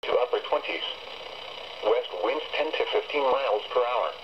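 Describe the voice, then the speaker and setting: A man's voice: mid-range voice, normal speed, over music. A synthetic-sounding man reading a scripted weather broadcast as a radio announcer. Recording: muffled, very noisy weather radio speaker. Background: loud radio noise.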